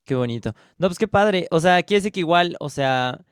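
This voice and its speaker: Mexican guy hosting a podcast, smooth clean voice, dry close sound. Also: a little high, animated, normal speed, no echo. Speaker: podcast host. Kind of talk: casual interview chat.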